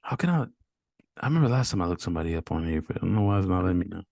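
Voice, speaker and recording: A man's voice: low voice, very animated, rapid-fire, vocal fry, darkish tone, no room sound. A man hosting a casual fitness interview over a video call, upbeat and encouraging, with easy slang. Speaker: host.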